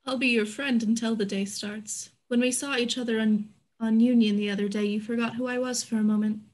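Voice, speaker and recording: A woman acting a proud, high-voiced frontier businesswoman. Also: steady tone, a little fast, a little mellow, close to an everyday voice. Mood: guarded, proud. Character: candid, proud businesswoman of an Old West town. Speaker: actor in an online staged reading. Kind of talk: scripted stage play reading. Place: indoors at home, on a group video call.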